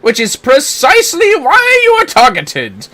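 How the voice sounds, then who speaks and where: A performer voicing a pompous, theatrical gentleman inspector with grand, archaic, florid delivery in a video game read-aloud. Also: high-pitched, wildly swooping pitch, measured pace, voice pushed up, full character voice. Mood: dramatic revelation.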